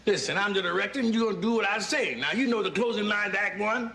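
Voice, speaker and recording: An actor playing a bossy, pompous old father who directs an amateur play in a sitcom, with a raspy voice. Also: high voice, fast, sharp tone. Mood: bossy, self-satisfied. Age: older. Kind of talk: sitcom acting before a studio audience. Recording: echoey, noisy sitcom stage recording.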